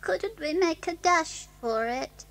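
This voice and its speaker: Very high-pitched fox cub. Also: female voice, lively intonation, slow pace, full character voice. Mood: plaintive. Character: small, hungry, earnest fox cub. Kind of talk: children's audio story dramatisation.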